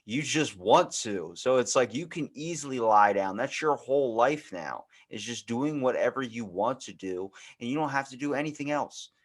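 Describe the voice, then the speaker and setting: A man's voice: medium pitch, expressive, moderate pace, hardly any pauses. Young male podcast host. Casual, rambling, slangy talker who swears and voices both sides of an exchange. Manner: slangy, swearing, acts out quoted dialogue.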